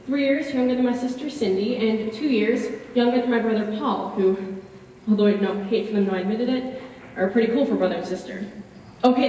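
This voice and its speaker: A wry, wistful fourteen-year-old boy played by a woman confides his story in a dramatic stage monologue. The voice is fairly high and conversational, broken by dramatic pauses. It rings through a very echoey hall, picked up from a distance. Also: a little mellow, close to an everyday voice. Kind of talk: solo dramatic monologue, direct address. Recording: echoey hall, distant, muffled, faint noise.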